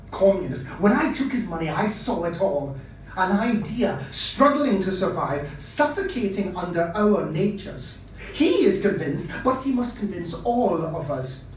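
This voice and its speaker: A man's voice: sits high, full character voice. A man voicing a fussy, foreboding older costumier uncle in a story reading, with a hesitant, emphatic delivery. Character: fussy older male costumier, wary, gloomily prophetic uncle. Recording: echoey room, distant mic, faint noise.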